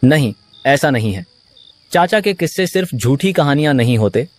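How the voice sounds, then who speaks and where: A man's voice: medium pitch, expressive, normal speed, dry recording, close to an everyday voice. A man voicing an earnest, conversational villager in a cartoon. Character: loyal male villager defending an uncle's tales. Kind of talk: Hindi cartoon dialogue.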